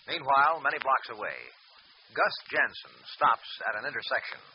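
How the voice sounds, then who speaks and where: A man narrates an old radio serial in a measured, dramatic manner. His voice is of middling pitch. It comes through a muffled old broadcast with light background hiss. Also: animated. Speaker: announcer and narrator.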